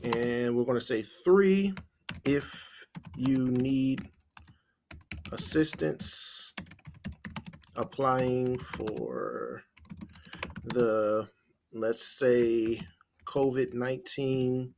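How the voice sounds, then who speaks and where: A man's voice: medium pitch, lively intonation, extremely slow, long pauses, a little mellow, slight background noise. A man presenting an informal screen-share tutorial as a marketing trainer, explaining step by step and thinking aloud as he types.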